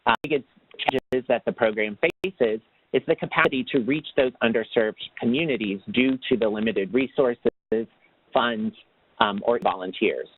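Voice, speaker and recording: A man presenting slides in a webinar, in a formal read-out way that turns halting off script, heard over a phone line. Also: medium pitch, slight background noise. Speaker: webinar presenter, tax assistance program manager. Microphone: phone or conference line. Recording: tinny, narrow phone line.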